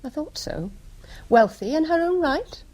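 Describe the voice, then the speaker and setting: An actress playing an arch, teasing female accomplice with a soft husky voice in a radio drama. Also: sits high, very animated, unhurried, low-quality recording.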